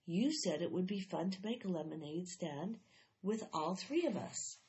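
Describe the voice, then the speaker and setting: A woman's voice: lowish voice, lively intonation, normal speed, warm tone, lo-fi sound. A woman voicing a stubborn, competitive young schoolgirl who talks in an indignant, insistent way.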